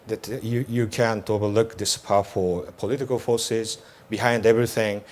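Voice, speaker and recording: A man speaking as a panelist from a religious organization, measured and halting, with many pauses. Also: fairly low pitch, steady tone, a little mellow. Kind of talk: panel discussion.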